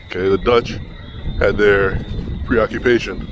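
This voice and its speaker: A man's voice: lowish voice, steady tone, unhurried, highs cut off. A man vlogging casually as he walks city streets, talking loosely and thinking aloud into a handheld camera mic. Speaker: travel vlogger. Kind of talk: casual walk-and-talk vlog. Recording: outdoor street noise. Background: street traffic and city ambience.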